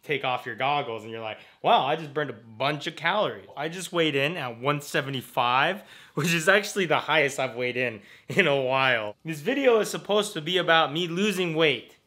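A man with an American accent and a bright, forward voice pitched a little high. He talks like a casual vlogger, informal and animated, joking as he goes. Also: moderate pace. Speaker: vlogger, host. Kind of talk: casual vlog chat.

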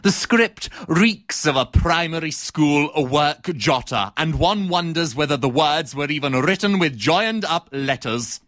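Man playing a pompous, bright-voiced female theatre critic. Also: on the high side, lively intonation, a little slow, few pauses, dry recording, studio quality. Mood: withering, haughty. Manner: pompous, precisely worded, melodramatic. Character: haughty, embittered female theatre critic in meltdown. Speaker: actor performing a comic monologue. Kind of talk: theatrical comic soliloquy.

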